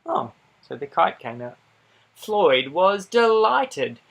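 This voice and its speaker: A man reading a children's picture book aloud in an animated style, with dramatic pauses and playful asides to young listeners. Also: high voice, creaky. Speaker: storybook reader.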